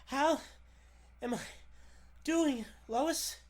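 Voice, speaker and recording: A man's voice: extremely high pitch, extremely slow, pitched above the performer's own voice, heavily acted. A man playing a mild, timid, stammering newspaper reporter in 1940s radio serial style. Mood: flustered, hesitant. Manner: stammering, hesitant.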